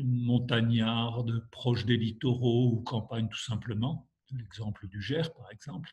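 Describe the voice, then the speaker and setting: A French man delivers a lecture at a steady, deliberate pace, pausing often to search for his words. He has a head cold and sounds a little stuffy. His voice sits in an ordinary mid range. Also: medium pitch. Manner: measured, hesitant with frequent fillers. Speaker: university lecturer. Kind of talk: academic lecture.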